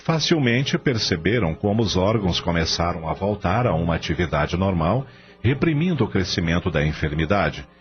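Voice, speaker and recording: A man's voice: sits low, sing-song, normal speed. A Brazilian man narrating a radio drama from a script in a formal storytelling manner. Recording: dull lo-fi audio. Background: clear music bed.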